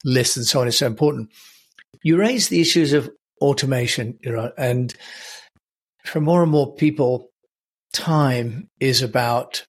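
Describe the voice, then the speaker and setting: A male podcast host introducing his guest and asking measured questions, with frequent "you know" fillers. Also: medium pitch, breathy edge. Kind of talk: interview hosting.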